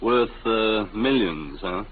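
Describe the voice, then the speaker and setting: A man's voice: on the low side, animated, takes it slowly. An actor playing a grumbling, suspicious middle-aged husband and father in a 1950s radio drama, blustery and sardonic. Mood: dry skepticism. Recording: narrow, very noisy 1950 radio broadcast.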